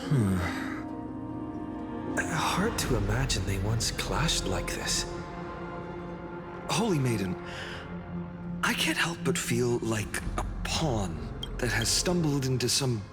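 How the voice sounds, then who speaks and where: A humble young common soldier, wry and self-doubting. His pitch moves with lively variety. Game music plays under his lines. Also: slow pace, long pauses.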